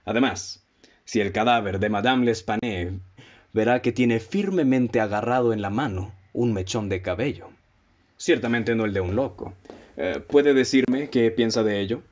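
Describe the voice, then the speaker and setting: A man with a Mexican accent playing a composed, analytical detective in a radio drama, speaking in a formal, didactic, deliberate way. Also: lowish voice, a little mellow, close to an everyday voice. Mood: reasoning. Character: analytical male detective, methodical, composed, leading his listeners. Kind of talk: radio drama acting, formal literary dialogue. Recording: dull, muffled lo-fi audio.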